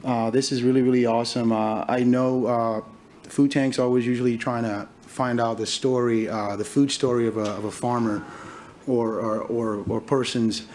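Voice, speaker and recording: A man from Liberia in his thirties, a farmer giving a conference talk, speaking haltingly with many fillers and restarts. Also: medium pitch, steady tone, a little mellow, slight background noise. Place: conference hall stage.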